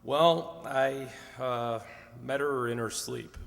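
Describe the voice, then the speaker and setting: A man's voice: mid-range voice, slow, gravelly, a bit bright. A man playing a plain-spoken married salesman as a hesitant, rambling contestant on an old-time radio quiz. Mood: earnest. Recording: long hall reverb.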